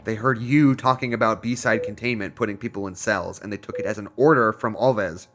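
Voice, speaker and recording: A man's voice: lowish voice, steady tone, brisk, fairly bright tone, faint music behind. A man acting in an audio drama as a blunt, exasperated colleague speaking over a walkie-talkie. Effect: walkie-talkie radio.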